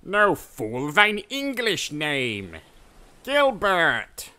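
A man's voice: a little high, huge pitch swings, extremely slow, pushed and bright, clean tone, full character voice. A man voicing a pompous, boastful medieval English knight and sea captain for a cartoon, with grandiose, archaic, declamatory delivery. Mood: blustering. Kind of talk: theatrical cartoon character acting.

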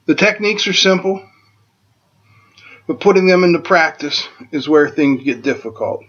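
A man's voice: fairly high pitch, expressive, normal speed, a bit bright, highs cut off. Folksy man teaching in a welding shop. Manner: plainspoken and folksy, jokes at his own expense. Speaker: welding instructor and host. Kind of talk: casual how-to demonstration. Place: home welding workshop, indoors.

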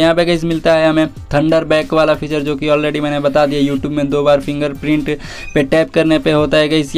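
A man's voice: fairly high pitch, a little mellow, clear, resonant tone. An Indian man giving a casual phone tutorial as a tech host, explaining in an instructional, repetitive way with English words mixed in.

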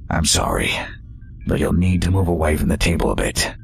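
A man's voice: extremely low pitch, very animated, fairly quick, gravelly, breathy edge, full character voice. A man voicing a polite robot scientist with formal, precise speech in a comic dub. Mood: calm, formal.

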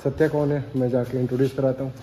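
A man's voice: medium pitch, flat delivery, fast. A young man talking casually to a phone held at arm's length, mixing Hindi with English, in a vlog. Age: young adult. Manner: chatty, mixing Hindi and English, bits of Odia. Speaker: vlogger. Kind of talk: casual travel vlog to camera. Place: indoors and outdoors, rooms, street, station. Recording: music playing behind the voice.

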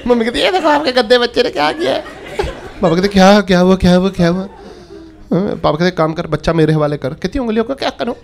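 A man in his thirties telling animated stand-up stories on a club stage, holding a handheld mic and acting out quotes in different voices. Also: high-pitched, fast, gravelly, noisy background. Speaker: stand-up comedian. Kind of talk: stand-up comedy storytelling. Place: comedy club stage before a live audience. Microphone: handheld stage microphone. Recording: live club audience laughter.

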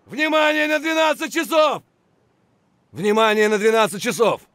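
An actor playing an aggressive, foul-mouthed mercenary in a military shooter game, giving short, punchy combat callouts. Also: male voice, very high voice, normal speed, fairly bright tone, dry recording. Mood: urgent, aggressive.

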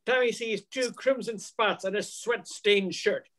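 High-pitched older male radio narrator. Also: unhurried, fairly bright tone. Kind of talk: scripted old-time radio drama narration. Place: home room, video call. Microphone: computer mic on a video call.

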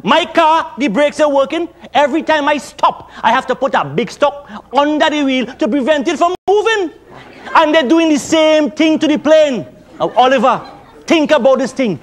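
Naive Trinidadian man, very high voice. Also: animated, normal speed, a faint crowd. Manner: naive, nervous, earnest. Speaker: comedian. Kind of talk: live stage comedy sketch. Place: theatre stage with live audience. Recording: stage recording with audience laughter.

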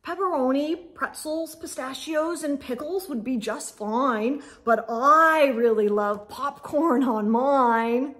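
A woman voicing a bird party guest in a children's storybook, deliberate and emphatic. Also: sits high, a bit bright, pitched below the performer's own voice. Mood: eager.

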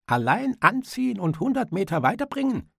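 A cool, mocking gold-rush tycoon in an audiobook, acted out by a male narrator. The voice is lifted well up in pitch, with a sneering, challenging edge and a dry, close sound. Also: big pitch swings, brisk, vocal fry, slightly rough, dry recording, exaggerated voice.

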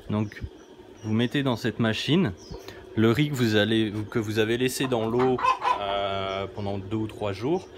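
A man's voice: on the low side, fairly even intonation, a little slow. Chatty man vlogging on a noisy phone. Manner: chatty, hesitant, explains with fillers and restarts. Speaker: travel vlogger. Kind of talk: casual explanatory vlog narration. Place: small outdoor workshop yard in a village. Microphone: phone camera at arm's length. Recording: noisy outdoor phone recording.

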